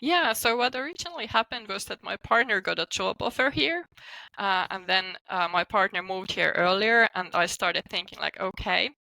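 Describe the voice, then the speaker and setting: A woman's voice: medium pitch, normal speed, gravelly, bright, forceful tone, no room sound, poor audio. A woman from Finland with a Finnish accent, talking informally as an interview guest and often saying "like". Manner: informal, peppered with "like" as a hedge. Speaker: guest, psychotherapist and coach. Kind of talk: casual interview talk.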